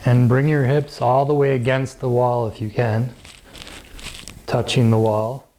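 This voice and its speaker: A man gently and calmly guiding a yoga and relaxation class in measured phrases with pauses. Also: mid-range voice, a little hiss or hum, poor audio. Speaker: yoga teacher. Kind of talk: guided yoga and relaxation instruction.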